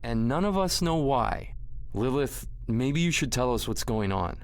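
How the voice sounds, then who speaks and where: Guy voicing suspicious student in a visual novel, normal pitch, dry and questioning. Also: animated, a little slow. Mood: wary, questioning. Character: skeptical, wary young male student, dryly confrontational. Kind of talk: visual novel character voice acting.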